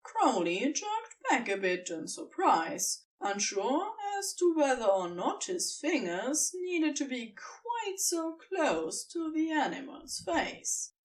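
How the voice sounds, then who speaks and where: A woman reads a story as its narrator, slightly off the microphone. Her delivery is slow and expressive, with wide swings of intonation in a scripted storytelling style. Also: high voice, far from the mic, rough recording.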